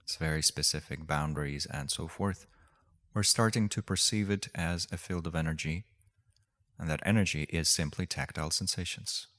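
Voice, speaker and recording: A man leading a guided meditation as a teacher, speaking gently and unhurriedly with long pauses. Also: rumbling low.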